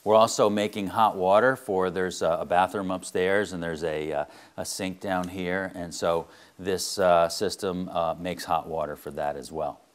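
A man's voice: low voice, fairly even intonation, moderate pace, hardly any pauses. A middle-aged man leading an explanatory on-site tour as a home energy contractor. He talks in an earnest, plain-spoken way, with frequent "uh" fillers. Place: indoors in a wood-walled barn and its concrete basement.

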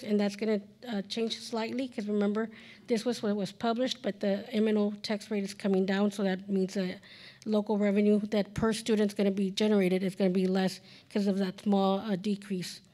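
A woman's voice: mid-range voice, a little mellow. A woman in her forties, a school district finance officer, reading budget figures at a board hearing into a podium microphone, with many fillers and self-corrections. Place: large council chamber, indoors.

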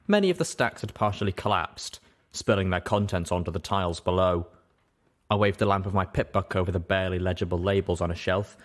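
Deep-voiced man narrating a story. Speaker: audiobook reader. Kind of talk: first-person story narration.

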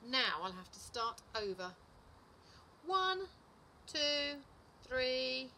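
A woman's voice: high-pitched, big pitch swings, extremely slow, bright, forceful tone, a little hiss or hum, pitched above the performer's own voice, full character voice. A woman voicing a busy, fussy storybook squirrel with a dismissive manner.

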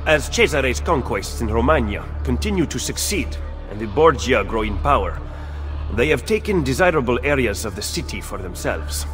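An actor playing a cunning, aloof Italian political strategist in a video game cutscene. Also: male voice, mid-range voice, sing-song, moderate pace, a bit bright. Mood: lecturing. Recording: faint game music behind.

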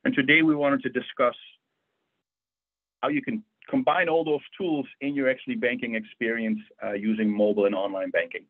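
Guy teaching a banking webinar, husky voice, sounds like a phone line. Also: mid-range voice, steady tone, fairly quick. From Netherlands. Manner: friendly, conversational with frequent fillers. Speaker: bank financial educator. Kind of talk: webinar presentation. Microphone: video call. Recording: tinny phone-like audio.

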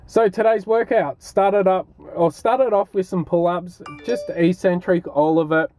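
A young Australian man talks in a casual, informal vlogging style about his day and training. His voice sits a little high for a man. Faint music plays behind him. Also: steady tone, measured pace, a little mellow. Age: 20s. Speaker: fitness vlogger. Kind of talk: casual vlog chat and workout commentary.

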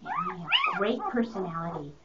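A woman's voice: medium pitch, wildly swooping pitch, brisk, far from the mic, heavy background noise, pitched above the performer's own voice. A woman voicing a female puppy who has just been woken and complains about it mildly, on a muffled home video. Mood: grumbly.

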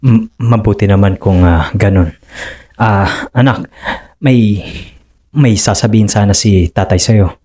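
A man's voice: fairly low pitch, a little slow, mellow, dry recording, studio quality. A man playing a fisherman husband and father who speaks hesitantly in a radio drama. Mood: apologetic. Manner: hesitant, stammering. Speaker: voice actor. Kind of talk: radio drama acting and narration.

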